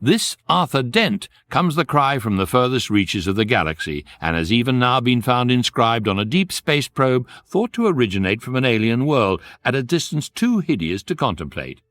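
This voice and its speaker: An actor playing the wry, urbane, measured narrator of a guidebook in a radio comedy, with a British accent. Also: male voice, medium pitch, very animated, fairly bright tone, dry recording. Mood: mock-dramatic.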